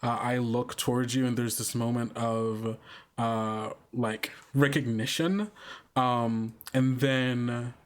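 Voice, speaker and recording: A tabletop player with a medium-pitched voice sets scenes for the group. The narration is casual and improvised. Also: fairly even intonation, takes it slowly.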